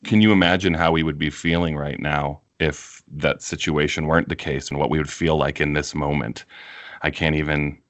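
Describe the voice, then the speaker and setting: A man hosting a podcast interview who speaks in an earnest, measured, reflective way. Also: very deep voice, fairly even intonation, a bit bright, no room sound. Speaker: podcast host. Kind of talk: interview conversation.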